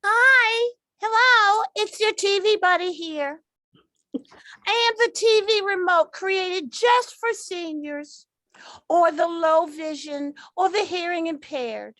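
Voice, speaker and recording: A woman's voice: extremely high pitch, slow pace, sharp tone, no echo, exaggerated voice. A woman voicing a chirpy, eager talking TV remote in an old-time radio commercial parody. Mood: chirpy selling.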